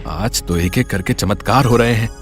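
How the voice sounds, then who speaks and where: A man's voice: lowish voice, moderate pace, natural voice. A man voicing a poor, earnest woodcutter in a Hindi moral story, speaking with wide-eyed expression. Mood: amazed. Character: poor, earnest, kindly young woodcutter husband. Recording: music behind the voice.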